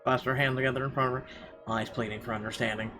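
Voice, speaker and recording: A man reading visual novel narration aloud, with a halting, stumbling delivery. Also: medium pitch, level tone, pushed and bright, soft background music. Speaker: gameplay video host.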